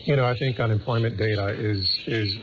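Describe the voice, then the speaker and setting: A man's voice: fairly low pitch, steady tone. Man, muffled under heavy static. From United States. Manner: slow, deliberate, pausing phrasing. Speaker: professor as panel guest. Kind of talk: live radio interview commentary. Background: loud shortwave static.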